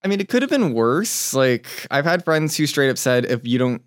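A man's voice: mid-range voice, sing-song, moderate pace, no echo, clean studio sound. A young man from California, a chef guest on a podcast, telling his story in an easygoing way and laughing often. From born in Taiwan, raised in California. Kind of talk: casual interview chat.

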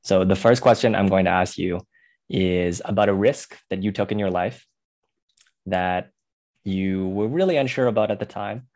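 A man's voice: sits low, normal speed, a little mellow. A man hosting a podcast, asking questions and reacting with enthusiasm. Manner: enthusiastic, encouraging interjections. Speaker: podcast host. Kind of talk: podcast interview.

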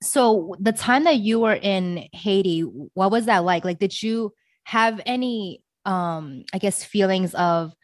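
A woman's voice: mid-range voice, measured pace, no room sound. A young woman hosting a podcast, chatty and bubbly, laughing often and rambling through her questions. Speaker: podcast host. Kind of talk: casual interview chat.

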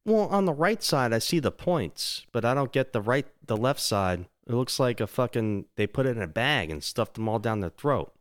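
A man's voice: medium pitch, very animated, normal speed, few pauses, no echo, clean studio sound. A man hosting a casual podcast, crude and joking, swearing freely. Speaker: podcast host.

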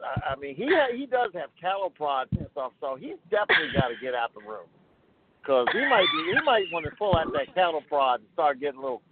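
Flustered man with wildly swinging pitch. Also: big pitch swings, quick pace. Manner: hesitant, flustered, joking. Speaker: show participant put on the spot. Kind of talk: casual chat. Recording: tinny phone line with clear noise.

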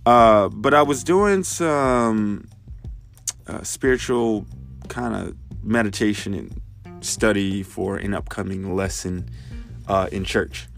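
A man's voice: on the low side, takes it slowly, vocal fry, soft background music. A man in his thirties, congested, hosting a podcast and thinking aloud, with many fillers and restarts. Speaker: podcast host. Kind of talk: casual spoken reflection.

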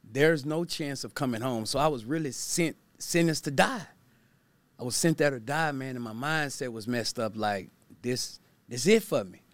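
A man's voice: medium pitch, normal speed, dry recording. A man from Baton Rouge, telling his story as a guest in a candid interview, in a laid-back, plainspoken, slangy way. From Baton Rouge, Louisiana. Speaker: guest, pastor and motivational speaker.

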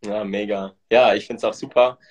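A man's voice: on the low side, fairly even intonation, moderate pace. A man with a Swiss German accent hosting a casual live stream in an upbeat, enthusiastic way. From Switzerland. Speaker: host. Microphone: video call.

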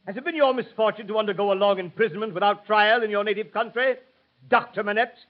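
Stern male prosecutor, acted by a man: high voice, fast, clipped, formal, dull vintage radio recording. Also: lightly acted. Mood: insistent, formal. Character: brisk, stern, insistent male prosecuting lawyer. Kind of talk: old-time radio drama acting.

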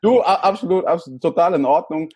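A man's voice: a little high, lively intonation, fast talker, darkish tone, breathy edge, no room sound. A man in his thirties, raised in El Salvador, chatting as an interview guest and often repeating his words. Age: 30s. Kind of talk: casual interview talk.

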